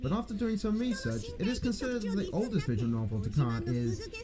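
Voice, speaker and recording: Lively young man, music behind. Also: sits high, brisk. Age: young adult. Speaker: host. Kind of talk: casual scripted video discussion.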